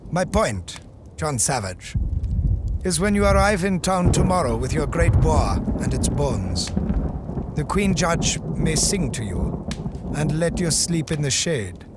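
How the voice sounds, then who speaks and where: An actor playing a cryptic, solemn desert guide in an audio drama, with poetic, ominous phrasing. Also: male voice, fairly high pitch, sing-song, a little slow, very noisy. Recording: loud outdoor ambience behind voice.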